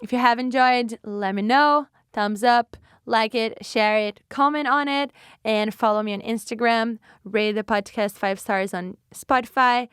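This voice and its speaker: Young woman, Brazilian accent, podcast host, chatty, reflective, smooth voice, dry close sound. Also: fairly high pitch, unhurried, a bit bright, dry recording. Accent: Brazilian Portuguese. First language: Portuguese. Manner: chatty, reflective, frequent fillers and restarts. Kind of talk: solo podcast monologue.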